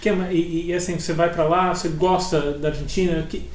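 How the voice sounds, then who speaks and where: A man's voice: fairly high pitch, fairly even intonation, quick pace. A man interviewing a guest, asking long searching questions full of fillers and restarts. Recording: echoey room with faint noise.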